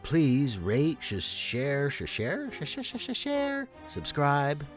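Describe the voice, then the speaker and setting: A man's voice: a little high, wildly swooping pitch, slow, soft background music. A man hosting a podcast, giving an informal, enthusiastic introduction. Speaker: podcast host.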